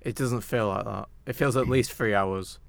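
A man's voice: fairly low pitch, moderate pace, vocal fry, no room sound. A man co-hosting a podcast, giving casual opinions about films in loose conversation. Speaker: podcast co-host. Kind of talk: casual film banter.

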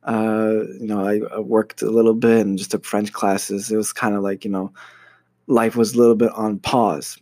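A man's voice: fairly low pitch, little pitch movement, normal speed, darkish tone, no echo, studio quality. A young American man telling a personal story about his studies in a casual, hesitant way, full of fillers and restarts. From United States. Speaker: university student.

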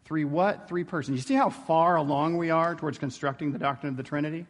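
A man's voice: fairly high pitch, fast talker, big-room sound. A man giving an informal theology lecture in a conversational way, often checking in with rhetorical questions.

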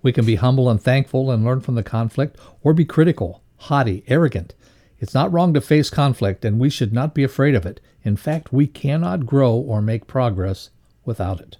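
Male co-host, soft mellow tone, measured and instructive read of a self-improvement lesson. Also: mid-range voice, animated. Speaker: co-host reading a values lesson. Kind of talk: read-aloud motivational lesson.

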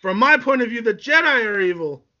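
Man acting a furious, high-strung young fallen warrior. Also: expressive, normal speed, bright, forceful tone, lo-fi sound. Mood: defiant. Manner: melodramatic, declamatory. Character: furious, betrayed young male warrior turning to evil.

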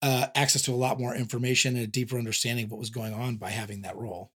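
A man's voice: medium pitch, little pitch movement, rapid-fire, fairly bright tone, slightly rough. A man hosting a podcast, asking rambling, friendly questions full of fillers like "um" and "like.". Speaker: podcast host. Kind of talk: casual interview conversation.

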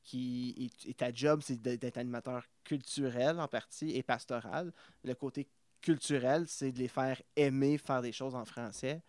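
A man's voice: medium pitch, fairly even intonation, moderate pace, darkish tone, dry recording. A man hosting a podcast, asking long rambling questions full of stumbles and fillers. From Ontario. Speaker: podcast host. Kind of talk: casual interview.

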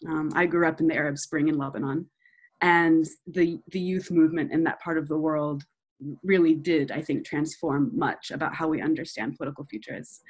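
Low-voiced young woman interviewer. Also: steady tone, moderate pace, slightly rough, rough recording. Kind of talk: academic interview, reading a quotation.

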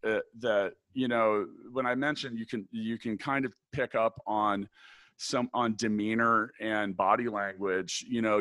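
A man with a big, deep voice answering interview questions thoughtfully, restarting his sentences often. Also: a bit bright, no room sound. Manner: thoughtful, many restarts and fillers. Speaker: podcast guest, business founder.

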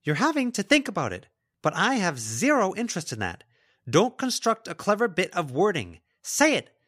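A man voicing an intense, accusing teenage schoolgirl in a forceful, demanding audiobook performance. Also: a little high, very animated, fast, pitched above the performer's own voice. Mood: angry, accusing.

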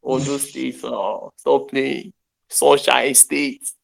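A young Nigerian man hosts a podcast from an internet call, his voice carrying a gravelly, fried edge at an ordinary middle pitch. He chats loosely in pidgin and English, dropping Yoruba phrases and joking along. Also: steady tone, slow. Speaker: podcast host. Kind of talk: casual chat in pidgin and English.

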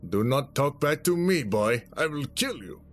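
A man's voice: lively intonation, a little slow, soft background music, lightly acted. A man voicing a condescending, threatening fighter with a foreign accent and stilted, formal phrasing, in an audiobook. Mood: angry, threatening. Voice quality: deep.

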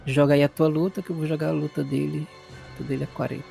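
A man's voice: a little high, level tone, fast talker, gravelly, over music. A man with a Brazilian accent narrating a tabletop role-playing game as game master, colloquial and explanatory.

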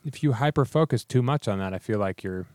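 A hoarse man co-hosting a podcast, chatting casually in a laid-back, joking way. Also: medium pitch, lively intonation, a little fast, darkish tone, dry recording. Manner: laid-back, joking, trails off mid-sentence. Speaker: podcast co-host. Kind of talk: casual chat.

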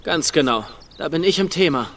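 An actor playing a cocky, teasing young sleuth in a dubbed TV comedy, flippant and theatrically smug. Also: male voice, on the high side, animated, fairly quick. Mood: smug, breezy. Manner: flippant, mock-offended, smug. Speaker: voice actor in a German dub. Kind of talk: dubbed TV comedy-drama dialogue.

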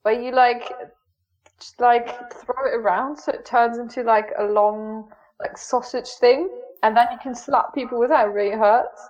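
A woman from Germany whose first language is German, chatting casually as a podcast guest, self-mocking and quick to laugh. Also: a little high, steady tone, a little mellow. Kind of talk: casual chat. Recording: dull lo-fi sound.

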